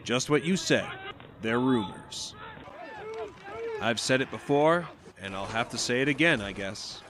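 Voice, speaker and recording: Actor as a modest young football star, noisy background. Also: male voice, medium pitch, animated, unhurried, close to an everyday voice. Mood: plain, unassuming. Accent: American. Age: young adult. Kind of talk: audio drama acting. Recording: noisy outdoor-like ambience.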